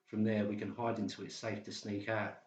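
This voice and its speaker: A man's voice: on the low side, flat delivery, a little fast, lightly acted. A man playing a desperate psychiatric patient plotting escape, urgent and insistent, in a dramatised audiobook. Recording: roomy, slightly dull sound.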